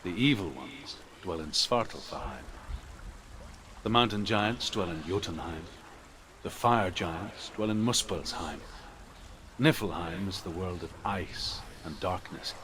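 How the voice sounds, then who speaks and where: A man playing a grave storyteller of Norse myths, speaking in a solemn, measured way in a fantasy game. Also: low voice, lively intonation, noisy background. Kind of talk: scripted mythic narration.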